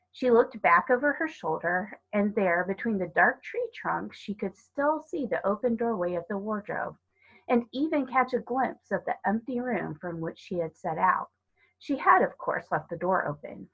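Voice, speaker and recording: A woman's voice: medium pitch, vocal fry, fairly bright tone, slightly rough. A woman narrating a children's story dramatically, dropping into whispers for emphasis, on a slightly dull recording. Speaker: storybook reader.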